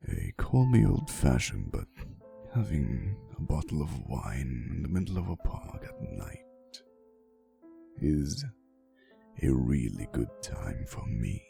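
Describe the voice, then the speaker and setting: A man playing a centuries-old romantic vampire boyfriend in an intimate ASMR roleplay monologue, speaking softly and hesitantly, teasing and wistful with faint menace. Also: very deep voice, gravelly, full character voice. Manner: soft, slow, hesitant storytelling with teasing asides. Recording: soft music behind the voice. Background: quiet instrumental music.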